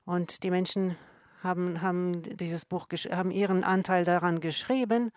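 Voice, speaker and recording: A woman with a fairly low voice explains scripture in an earnest, measured way. She speaks slowly and stops often to correct herself. The sound is close and dry, with no room around it. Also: steady tone, darkish tone, dry recording. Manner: slow, careful, with frequent self-corrections.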